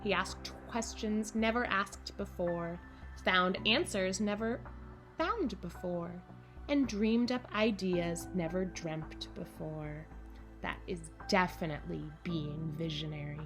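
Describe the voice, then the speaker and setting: Woman, children's storybook read-aloud, expressive and playful delivery, addressing young listeners, background music. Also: fairly low pitch, slow. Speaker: camp director reading a picture book to children. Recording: music behind the voice.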